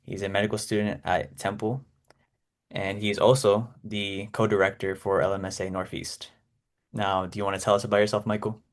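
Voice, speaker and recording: Host: man with a lowish voice, relaxed, encouraging interviewer. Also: fairly quick. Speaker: podcast host. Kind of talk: casual interview questions.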